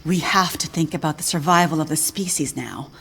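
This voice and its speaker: An actress playing a grave older female scientist in a video game cutscene, speaking in a measured, solemn way. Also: deep voice, a bit bright, slight background noise. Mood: resolute.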